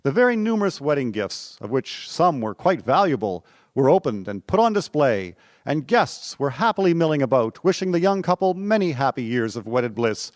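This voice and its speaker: A man's voice: fairly high pitch, dry recording, studio quality. A man narrating scripted local history for a radio drama.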